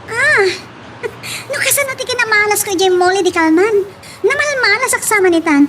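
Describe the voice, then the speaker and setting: A woman's voice: extremely high pitch, lively intonation, moderate pace. A woman playing the boss's spoiled, snobbish young daughter in an Ilocano audio drama, pouty and exclaiming. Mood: haughty. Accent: Ilocano Filipino. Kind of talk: amateur audio drama dialogue. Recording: slightly distant, faint background noise.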